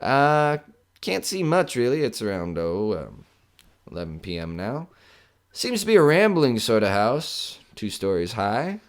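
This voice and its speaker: A man's voice: medium pitch, sing-song, measured pace. A man playing a chatty radio host who hunts ghosts, folksy and showman-like, with jittery bravado in an old-time broadcast style. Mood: jokey, uneasy. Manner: folksy, showman-like, nervously jokey. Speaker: radio host in an audio drama.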